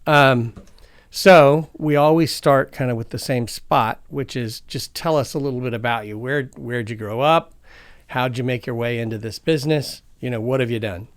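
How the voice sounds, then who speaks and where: A man co-hosting an energy podcast, asking questions and chiming in with quick friendly interjections. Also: mid-range voice, clear, resonant tone, no echo. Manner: friendly, affirming with short interjections. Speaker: podcast co-host. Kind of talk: casual interview chat.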